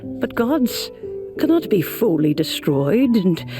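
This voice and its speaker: An actress playing a wise, kindly elderly woman master in a video game, speaking in a measured, formal storytelling manner. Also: medium pitch, big pitch swings, music in the background. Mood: wistful. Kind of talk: scripted video game voice acting.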